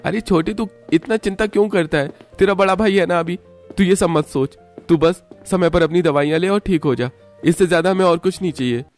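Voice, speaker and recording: A man's voice: high-pitched, brisk, a little mellow, soft background music, lightly acted. A man playing a poor family father in a cartoon story, fretful but caring, speaking earnestly. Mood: caring, reassuring. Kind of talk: Hindi cartoon story voice acting.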